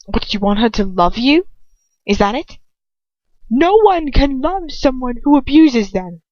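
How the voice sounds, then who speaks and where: An indignant young man acted out by a woman reader speaks up high and urgent, his voice shaking with feeling. He is protective and fierce in this storybook reading, on a dry, slightly muffled recording. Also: big pitch swings, measured pace, no room sound. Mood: angry accusing. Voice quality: shaky, emotional. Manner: urgent, pleading, forceful.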